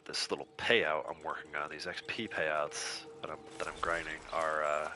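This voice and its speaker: A man's voice: a bass voice, flat delivery, normal speed, pushed and bright, slight background noise. A man streaming a video game, chatting casually with his viewers while congested and a bit nasal from a cold. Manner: chatty, reads out and answers viewer chat, laughs easily. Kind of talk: casual livestream chat while playing.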